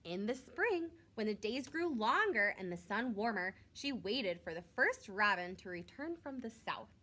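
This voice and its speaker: A woman's voice: mid-range voice, sing-song, unhurried, bright, forceful tone. A woman reading a picture book aloud like a teacher to young children, expressively and with simple asides. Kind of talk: storybook read-aloud with short asides.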